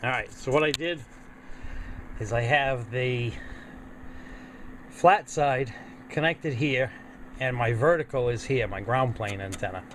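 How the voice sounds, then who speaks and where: A man's voice: mid-range voice, measured pace, long pauses, fairly bright tone, a little hiss or hum. A man giving a casual hands-on demonstration to camera, plain-spoken and thinking aloud as he works. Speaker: host of a hobby radio video.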